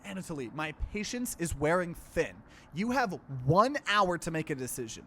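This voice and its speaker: A man's voice: on the high side, very animated, normal speed, fairly bright tone, a little hiss or hum. A man voicing a stern, impatient woman in a horror visual novel, speaking in a cold, controlling manner.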